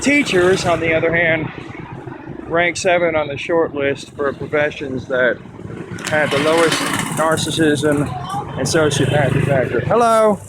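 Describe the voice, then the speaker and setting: A man's voice: on the high side, measured pace. A man from Texas talking casually as he walks down a city street, rambling and thinking aloud. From Texas, USA. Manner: chatty, rambling, thinking aloud while walking. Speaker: vlogger; teacher. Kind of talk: casual walking vlog. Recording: loud traffic noise behind. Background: loud street traffic and motorbikes.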